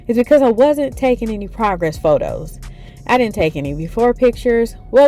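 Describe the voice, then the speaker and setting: A woman's voice: on the high side, a little mellow. A woman in her forties giving an encouraging fitness vlog, often checking in with the viewer. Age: 40s. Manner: encouraging, keeps checking in with "okay?". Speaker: fitness vlogger. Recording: echoey room, voice from a distance, music behind.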